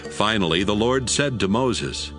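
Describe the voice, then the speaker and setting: A man's voice: fairly low pitch, animated, unhurried. A man narrating scripture from a script as the storyteller of an audio Bible. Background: music.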